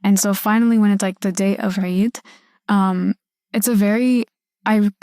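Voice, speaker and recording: Mellow young American woman, fairly even delivery. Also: mid-range voice, normal speed, no echo, studio quality. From California, United States. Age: young adult. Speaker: student podcast guest. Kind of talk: casual chat.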